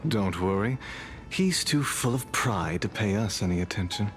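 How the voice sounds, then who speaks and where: A man's voice: fairly low pitch, expressive, moderate pace, fairly bright tone, faint music behind. A man playing a gossiping, scornful onlooker in a video game, with a snide manner. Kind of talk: game voice acting.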